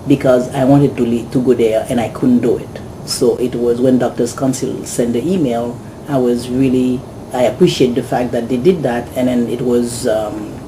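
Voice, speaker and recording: A Haitian-born man talks eagerly at a middle pitch, often restarting his sentences. A steady air-conditioning hiss sits clearly under his voice. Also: moderate pace, few pauses. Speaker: volunteer doctor. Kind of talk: recounting experience to camera.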